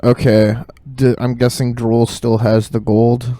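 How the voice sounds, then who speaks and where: A man's voice: mid-range voice, flat delivery, a little slow, mellow. A man playing a tabletop game, talking casually and sometimes stumbling over his lines. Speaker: player.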